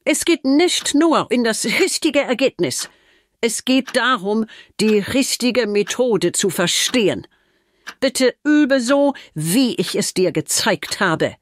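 Casting: woman as a by-the-book teacher, slow and lively in pitch, faint music. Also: no room sound, studio quality. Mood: firm. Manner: firm, instructive. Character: strict female math teacher, by the book. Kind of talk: children's audio drama.

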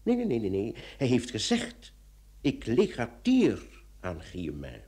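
Brief: self-assured deducer, warm dark voice, emphatic and very lively, radio drama. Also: male voice, medium pitch, unhurried. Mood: emphatic, reasoning. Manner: emphatic, reasoning. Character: methodical, self-assured man reasoning out a murder. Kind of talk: old Dutch radio play acting.

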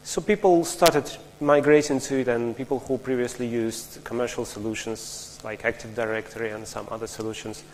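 A man's voice: medium pitch, fairly even intonation, normal speed, hardly any pauses, darkish tone, slight background noise. A man from Russia, giving a technical conference talk in a large lecture hall, explaining step by step with frequent restarts and asking the audience questions. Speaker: conference speaker.

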